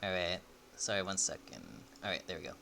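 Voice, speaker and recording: A man livestreaming a game with casual, rambling, excitable commentary, thinking aloud as he plays. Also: low voice, fairly quick, gravelly, over music.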